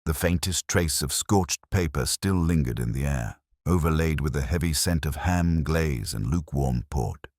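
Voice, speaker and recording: A man with an extremely low voice reads a scripted mystery in a measured, literary way. His delivery is dryly understated yet lively in its rise and fall, and the sound is close and dry. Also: no room sound. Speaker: narrator reading a mystery story. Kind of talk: scripted narration of a genteel comic mystery.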